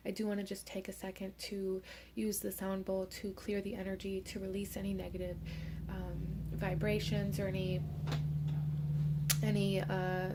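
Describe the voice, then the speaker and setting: A young woman, hoarse from a cold, giving a gentle pick-a-card tarot reading and slipping into soft whispers. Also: mid-range voice, heavy background noise. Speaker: tarot reader.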